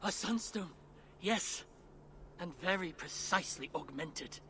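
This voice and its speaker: A nervous old dwarf smith, high bright voice that swings around a lot, very polite game character. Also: male voice, animated, unhurried, slight background noise, exaggerated voice. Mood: earnest, grave. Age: older. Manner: deferential, nervous, formal. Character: old male dwarf smith, learned, earnest, anxious. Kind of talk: video game cutscene acting.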